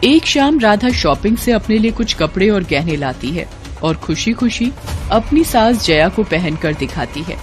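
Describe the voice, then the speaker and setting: Casting: female storyteller, mid-pitched, scripted moral-story narration over light background music. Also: very animated, a little slow.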